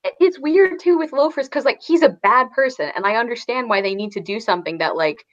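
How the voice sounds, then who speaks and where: A woman's voice: fairly high pitch, brisk, muffled recording. A woman chatting casually in a book discussion, thinking aloud in a hesitant way.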